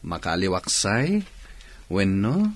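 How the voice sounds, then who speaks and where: A man's voice: deep voice, huge pitch swings, slow pace, full character voice. A man acting a good-natured, teasing young man in an Ilocano radio drama.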